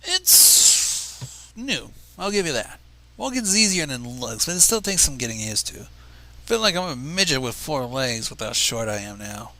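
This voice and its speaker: A good-natured young unicorn stallion, played by a man reading fanfiction, chats casually and wryly. The voice is lively and bright, with a creaky edge. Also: mid-range voice, normal speed, pitched below the performer's own voice, heavily acted. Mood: wry, eager. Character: newly transformed young male unicorn pony, good-natured, wry.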